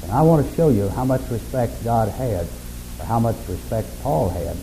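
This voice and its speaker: A man preaching a sermon in a folksy, unhurried way, with self-deprecating humor and a monotonous, mellow voice. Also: mid-range voice, noisy background. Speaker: pastor.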